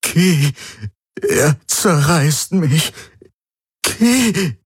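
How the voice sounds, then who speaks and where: A man voicing a tormented man who gasps out halting, broken phrases. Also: a little high, expressive, husky, no echo, studio quality, voice pushed up, full character voice. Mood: agonized. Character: tormented man fighting for his mind.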